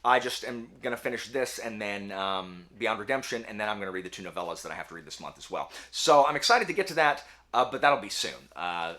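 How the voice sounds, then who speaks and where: A man talking casually as the host of a book review channel, with a slangy, self-deprecating, animated manner. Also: fairly low pitch, quick pace, hardly any pauses. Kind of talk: casual chatty monologue to camera.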